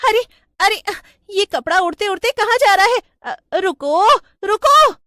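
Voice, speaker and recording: A woman's voice: extremely high pitch, lively intonation, measured pace, pushed and bright, no echo, studio quality, pitched above the performer's own voice, heavily acted. A woman voicing a naive young newlywed bride in a storybook tale, girlish and exclaiming. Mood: flustered.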